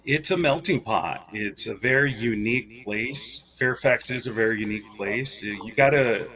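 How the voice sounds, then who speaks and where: A man who is a head football coach, answering interview questions in a halting way with many fillers. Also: mid-range voice, sharp tone, recorded from a distance. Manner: earnest, filler-heavy, halting.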